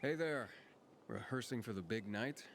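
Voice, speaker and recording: An actor voicing a young, guarded wanderer who stammers at times, in video game dialogue. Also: male voice, mid-range voice, lively intonation, moderate pace, a little hiss or hum, natural voice. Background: music.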